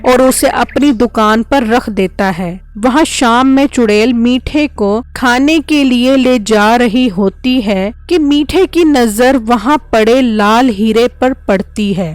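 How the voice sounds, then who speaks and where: A woman's voice: fairly high pitch, slow pace, few pauses, dry recording. A woman narrating a scripted children's story as the storyteller.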